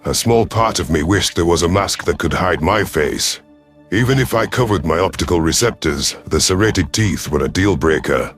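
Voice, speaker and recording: A man's voice: sits low, expressive, normal speed, soft background music. A man reading scripted first-person science fiction as a brooding, growling reptilian alien commander. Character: brooding, ruthless but conflicted male reptilian alien commander. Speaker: narrator of an audio story channel. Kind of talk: first-person scripted sci-fi narration in character.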